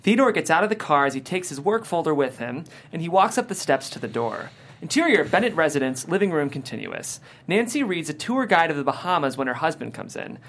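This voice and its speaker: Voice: mid-range voice, very animated, fairly quick. A narrator reading screenplay stage directions and scene headings in scripted narration.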